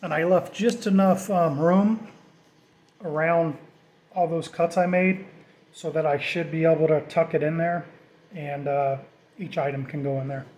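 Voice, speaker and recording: Mellow, higher-pitched man talking through DIY. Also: fairly even intonation, measured pace. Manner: informal, self-deprecating, thinking aloud. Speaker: DIY vlogger. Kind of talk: casual hands-on tutorial narration.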